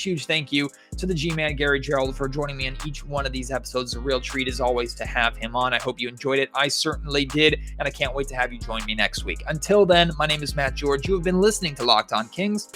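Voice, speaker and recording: Higher-pitched man hosting a sports podcast. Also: fairly bright tone. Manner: conversational, addresses listeners directly. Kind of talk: solo sports podcast commentary with scripted plugs.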